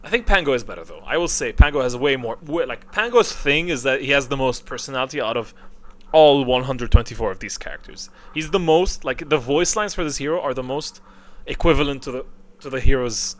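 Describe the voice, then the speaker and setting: A man's voice: mid-range voice, lively intonation, a little fast. A man giving casual, rambling tier-list commentary, thinking aloud and often answering his own questions. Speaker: video creator ranking game voice lines. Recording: clear background noise.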